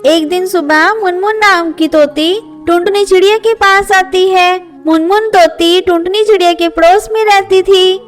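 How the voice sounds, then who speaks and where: A woman's voice: extremely high pitch, steady tone, normal speed. A woman narrating a scripted children's story. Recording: faint music under voice. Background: faint music.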